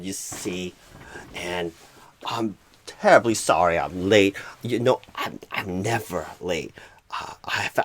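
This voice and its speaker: A man playing a clueless, flustered job recruiter in an ASMR comedy roleplay, stammering and repeating himself in a husky, halting voice. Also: medium pitch. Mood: apologetic. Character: flustered, clueless, absent-minded male job recruiter, apologetic and rambling. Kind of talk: ASMR comedy roleplay, celebrity-style impression.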